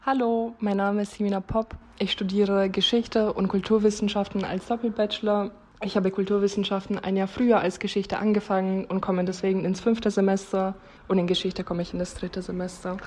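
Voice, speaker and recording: A woman's voice: mid-range voice, flat delivery, fairly quick, a little mellow. A young woman introduces herself as a student in a phone voice message, with a plain, level delivery. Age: young adult.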